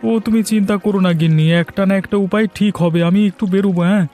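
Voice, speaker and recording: A man's voice: high voice, steady tone, rapid-fire, dark tone, faint music behind, natural voice. A man voicing a reassuring, confident and resourceful village husband in a cartoon story.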